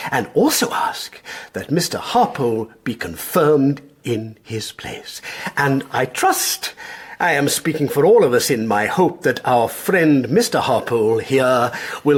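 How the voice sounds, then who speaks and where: A conciliatory English chairman of governors in a radio play. He is husky and lively in pitch, with courteous, rambling official phrasing. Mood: genial. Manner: hesitant, courteous, long-winded officialese. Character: genial, conciliatory male chairman of school governors. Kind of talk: radio drama acting.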